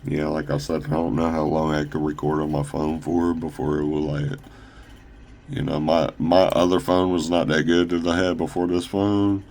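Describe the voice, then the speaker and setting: A man casually showing off his own shoe designs on a phone camera, rambling with fillers and restarts, his voice a little raspy from talking a long time. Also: very deep voice, flat delivery, a little mellow, slight background noise. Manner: halting, loose, full of fillers and restarts. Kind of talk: casual rambling product showcase.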